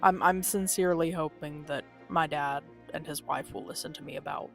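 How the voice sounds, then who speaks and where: A woman's voice: low voice, fairly even intonation, moderate pace. A woman live-streaming a game, talking casually and candidly. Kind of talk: casual live-stream chat over gameplay. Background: video game music.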